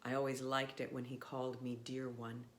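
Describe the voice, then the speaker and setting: A woman reading a children's storybook aloud in a measured, expressive way with pauses. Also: a bass voice, slight background noise.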